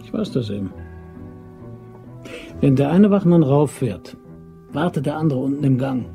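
An actor playing a shifty, smooth-talking man who plots a robbery, speaking casually and tersely in a German crime radio play. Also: medium pitch, expressive, moderate pace, warm tone, soft background music. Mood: matter-of-fact.

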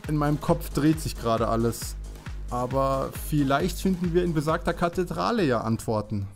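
A man plays an eager, naive young detective in a parody audio drama, earnest and excitable. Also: fairly high pitch, normal speed, gravelly. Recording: long reverb, faint music.

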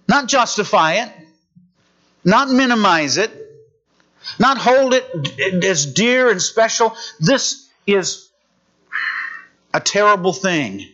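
A man preaching a sermon, reading scripture in an earnest, measured way. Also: sits high, fairly bright tone, muffled recording.

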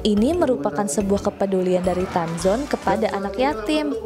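Woman, kids' show narrator, upbeat chatty voice-over, medium pitch, background music clearly audible. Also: lively intonation, brisk. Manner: upbeat and chatty, speaking directly to young viewers. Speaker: narrator of a children's entertainment news show.

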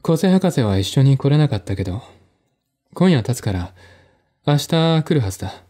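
A man playing a calm, matter-of-fact male friend in a mystery radio drama. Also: mid-range voice, normal speed, natural voice. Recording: music behind the voice.

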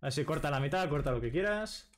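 A man's voice: on the high side, lively intonation, quick pace. A young man from Spain, chatting casually on a live stream as he plays a card game, thinking aloud and dropping "vale" into nearly every sentence, recorded in a small room at home. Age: young adult. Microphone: desk microphone with headset.

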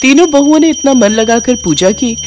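A woman's voice: high-pitched, expressive, normal speed, over music. A woman narrator telling a scripted story as storytelling narration.

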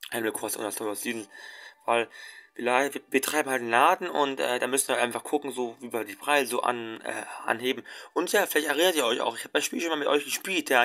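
A man's voice: medium pitch, bright, forceful tone. A young man hosting a casual let's play, talking in a rambling way with many fillers and restarts.

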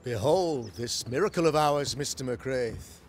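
An actor playing a pompous, pious, middle-aged preacher in a video game, with florid, oratorical delivery. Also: male voice, mid-range voice, animated, unhurried, a little hiss or hum, natural voice. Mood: grave, urgent. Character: pompous, pious preacher, learned man of the cloth. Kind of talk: theatrical video game acting, sermonizing.

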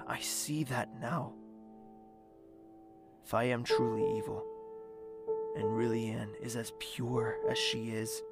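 Man acting a slow, gravelly, brooding nobleman. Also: medium pitch. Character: brooding, devoted, tormented young nobleman. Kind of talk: slow dramatic narration and monologue, audio drama. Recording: music behind the voice.

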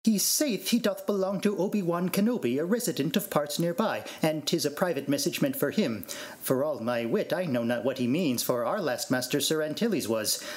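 A man's voice: on the high side, expressive, moderate pace, lightly acted. A man playing a fussy, anxious robot servant in formal, theatrical archaic phrasing, in an amateur Shakespearean reading. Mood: fussy, explaining.

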